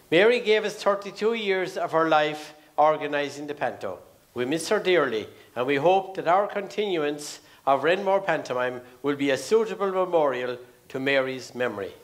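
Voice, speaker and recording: A man's voice: on the high side, fairly bright tone. A man with an Irish accent making formal, scripted announcements as master of ceremonies on a theatre stage, drawing out names. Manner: formal, measured, drawn-out name announcements. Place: theatre stage before an audience.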